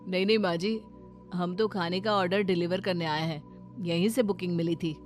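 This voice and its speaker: A woman's voice: lowish voice, steady tone, normal speed, loud music behind the voice, voice pushed down, close to an everyday voice. A woman voicing a matter-of-fact food delivery worker in a cartoon story, polite and insistent. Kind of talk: Hindi moral cartoon story acting.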